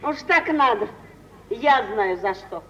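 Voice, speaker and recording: High-voiced, scheming, fawning mother. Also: lively intonation, slow, bright, forceful tone, exaggerated voice. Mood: brisk, sly. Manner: effusive, ingratiating, theatrical. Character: scheming, fawning middle-aged mother of genteel poverty. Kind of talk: 1940s Russian stage acting for radio. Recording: dull, hissy vintage radio play recording.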